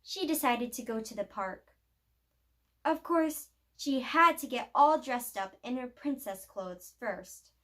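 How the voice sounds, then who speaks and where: A woman storyteller reads a picture book aloud for young children. Her voice sits high, and she goes slowly. The phrasing is deliberate and expressive, with clear emphasis on key words. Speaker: library storyteller reading aloud.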